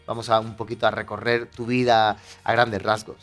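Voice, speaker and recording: Man, pastor, TV host, low voice, jokey, halting fillers, faint music. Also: flat delivery, fairly bright tone. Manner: friendly, joking, drawn-out fillers.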